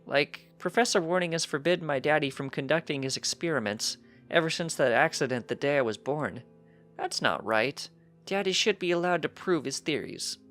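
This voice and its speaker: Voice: mid-range voice, moderate pace, fairly bright tone, soft background music, pitched above the performer's own voice, natural voice. A narrator voicing a precocious young pony colt, earnest and cheeky, chatting eagerly in a matter-of-fact way for a story reading. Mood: insistent.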